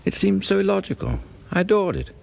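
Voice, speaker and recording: A man's voice: high voice, wildly swooping pitch, quick pace, gravelly, a little mellow, heavily acted. A British man playing an anxious, suspicious professor who narrates a radio drama in a formal, brooding way. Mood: troubled, brooding. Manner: formal, brooding, swinging in emphasis. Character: anxious, suspicious professor narrating his own unravelling marriage. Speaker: narrator and lead actor.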